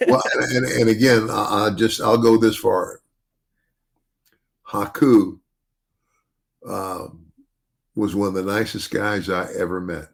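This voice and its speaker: An older man with a deep bass voice, chatting casually as a podcast host, rambling with many restarts and "you know". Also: little pitch movement, slow pace, vocal fry, long pauses. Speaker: podcast host, retired wrestler.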